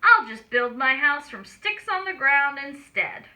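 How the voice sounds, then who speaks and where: A woman's voice: high-pitched, very animated, a little slow, bright, forceful tone, exaggerated voice. A woman voicing a creative, easygoing young boy piglet in a storybook for children. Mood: dreamy, upbeat.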